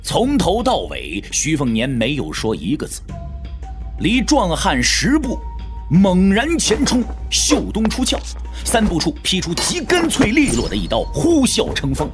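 A man's voice: sits high, wildly swooping pitch, unhurried, faint music behind. A man narrating a martial-arts audio drama in a dramatic, scripted storytelling style.

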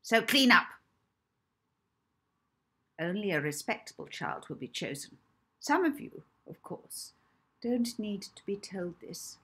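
Woman acting a loud, haughty orphanage mistress. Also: medium pitch, sing-song, slow, pauses often, pitched above the performer's own voice, full character voice. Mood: scornful, commanding. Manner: haughty, cutting, emphatic. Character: stern, contemptuous orphanage mistress making announcements. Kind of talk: children's storybook character voice.

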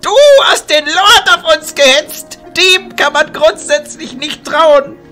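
A man's voice: very high-pitched, slow, bright, forceful tone, faint music behind, heavily acted. A man playing a spiteful, arrogant teenage boy wizard in a fantasy audio drama, mocking and contemptuous. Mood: hostile, furious.

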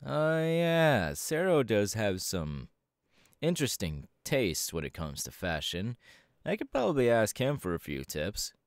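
A man voicing a laid-back, cocky young winged superhero in a casual ASMR audio roleplay, talking slangily with hesitant pauses. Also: on the low side, very animated, dry recording, clean studio sound. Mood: musing, easygoing.

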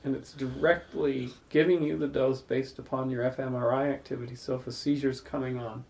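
Gravelly, mellow-voiced man telling stories. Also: mid-range voice, little pitch movement, fairly quick. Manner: thoughtful, rambling, builds long spoken stories. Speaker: salon host. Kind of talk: reflective storytelling in casual group talk.

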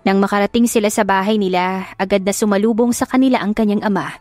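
A woman's voice: medium pitch, fairly even intonation, fast talker, darkish tone, dry recording, faint music behind. A Filipino woman reading a love story as a dramatic audiobook narrator.

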